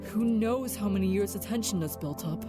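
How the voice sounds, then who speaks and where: A woman's voice: medium pitch, moderate pace, over music, close to an everyday voice. A woman playing a stern, blunt, hot-tempered female agent in a fan audio drama, curt and scolding. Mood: wary, serious.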